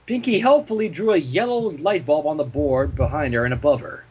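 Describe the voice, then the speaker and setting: A man reading fan fiction aloud as an expressive story narrator. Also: a little high, a little fast, a little hiss or hum.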